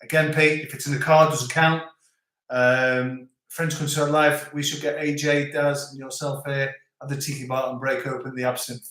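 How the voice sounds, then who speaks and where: A man with a softened Scouse accent hosting a casual live stream, chatting and reading out viewer comments with frequent restarts. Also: mid-range voice, little pitch movement, moderate pace. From Liverpool, England. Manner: chatty, rambling, stops and restarts. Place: home in the French countryside. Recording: roomy echo.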